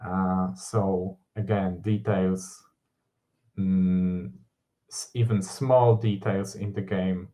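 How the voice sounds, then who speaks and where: Man, english, slow pace, low voice, hesitant fillers. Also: fairly even intonation, warm tone. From Poland. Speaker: game artist presenting. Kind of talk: conference presentation.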